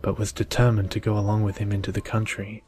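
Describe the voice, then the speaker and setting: A man reading the part of opinionated, gossiping townsfolk in a storybook, in a soft, measured delivery. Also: on the low side, completely flat delivery, faint music behind, close to an everyday voice. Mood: sympathetic. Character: gossiping townsfolk, scornful of the proud, fond of Beauty.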